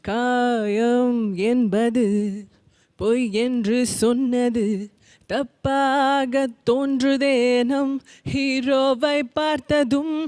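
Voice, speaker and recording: A woman's voice: high voice, little pitch movement, very slow, darkish tone, clean tone, no room sound, soft background music. A woman singing Tamil film songs as a contestant at an audition.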